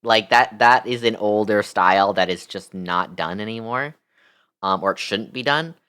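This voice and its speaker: A woman's voice: rumbling low, moderate pace, a bit bright, clear, resonant tone. A woman streamer reacts in a casual, conversational way. She is blunt and swears freely, yet speaks with open sympathy for the people she comments on.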